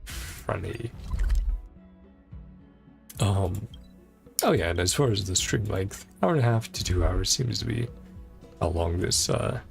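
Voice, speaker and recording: A man's voice: deep voice, animated, takes it slowly, vocal fry, pauses often, faint music behind. A man livestreaming a video game, chatting casually in rambling asides and reading dialogue aloud. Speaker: game streamer.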